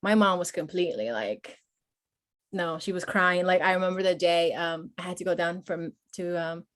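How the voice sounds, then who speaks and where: A woman in her forties, born in Peru, talking as a podcast guest about her life, with many pauses and fillers. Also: on the low side. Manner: hesitant, many fillers, speaks openly and personally. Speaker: guest, Marine veteran and nonprofit founder. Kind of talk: conversational podcast interview.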